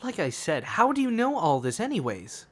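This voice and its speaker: A man's voice: high-pitched, wildly swooping pitch, moderate pace, full character voice. A man voicing a skeptical, exasperated, sarcastic teenage schoolboy in an amateur anime fan dub, grumbling and questioning. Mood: exasperated, doubting. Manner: dry, grumbling, doubting, questioning. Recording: loud music under the voice.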